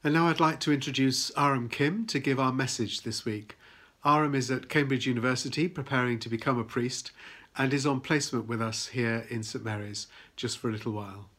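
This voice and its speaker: A man's voice: mid-range voice, brisk, fairly bright tone. A man leading a church service, plainly introducing the reader and the preacher.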